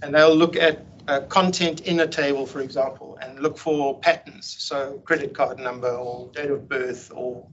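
A man presenting a technical meetup talk over slides on a video call, explaining in a relaxed way with frequent ums and restarts. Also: mid-range voice, moderate pace, hardly any pauses. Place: home room on a video call. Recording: dull lo-fi video call audio with faint hiss.

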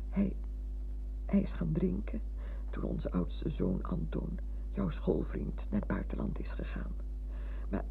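Fussy old village teacher lady, gravelly, repeats herself, old muffled radio play sound. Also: lowish voice, slight background noise. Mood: confiding. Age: older. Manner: halting, repeats herself, fussing. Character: fretful, chatty old retired village schoolmistress. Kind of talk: radio drama acting.

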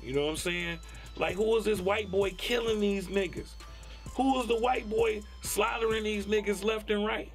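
A middle-aged man with a notably high voice gives a candid interview. He speaks emphatically with casual swearing, and faint music plays behind him. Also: fairly even intonation, moderate pace. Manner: emphatic, profane, tags phrases with "you know what I'm saying". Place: small foam-padded recording studio.

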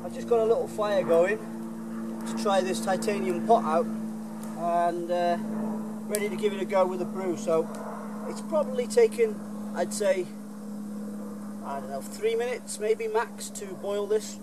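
A man's voice: sits high, a little slow, pauses often, noisy background. A middle-aged man chatting enthusiastically to camera while reviewing camping gear outdoors in a woodland. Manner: chatty, enthusiastic, folksy with fillers. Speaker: bushcraft reviewer.

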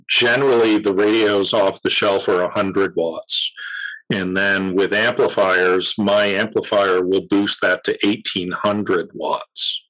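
A man in his fifties, an expert guest on a video call, explaining technical matters casually with hesitant pauses. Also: lowish voice, fairly bright tone, rough recording. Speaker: interview guest, radio communications expert. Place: home room, on a video call.

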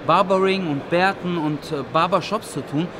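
A man in his thirties, a barber, giving an excited, chatty vlog tour at a trade fair. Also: high-pitched, moderate pace, noisy background. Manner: upbeat, enthusiastic, chatty. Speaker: vlogger and barber. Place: busy indoor convention hall. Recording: crowd chatter behind.

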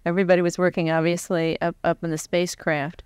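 An American woman in her forties conducts an interview in a conversational, slightly halting way. Her voice is on the low side, smooth and clear, with a dry, close sound. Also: little pitch movement, a little mellow, no echo. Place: seated on a stage before a live audience. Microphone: large microphone on stand close to mouth.

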